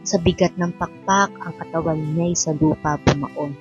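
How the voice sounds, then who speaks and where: A woman's voice: low voice, fairly even intonation, moderate pace, a little mellow. A woman narrating an epic poem in scripted, dramatic radio style on a lo-fi recording. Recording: dull lo-fi recording. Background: faint music.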